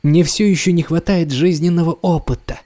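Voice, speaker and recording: An actor playing a restless, self-doubting writer in an audio drama, speaking in a wistful, courteous manner. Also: male voice, a little high, normal speed, mellow, close to an everyday voice. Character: weary, restless, self-doubting male writer set on escape. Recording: clear background noise, some clicks.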